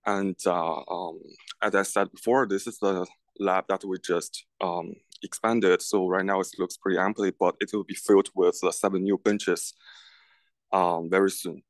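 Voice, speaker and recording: Low-voiced young man guiding a tour. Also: steady tone, no echo. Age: young adult. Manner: halting, with repeated words. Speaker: graduate student tour guide. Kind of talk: informal guided lab tour. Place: large open indoor lab.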